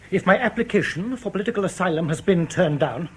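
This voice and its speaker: Guarded defector, highish husky voice. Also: male voice. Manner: formal, careful, protesting. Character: guarded, indignant male East Bloc defector under interrogation. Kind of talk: radio drama acting.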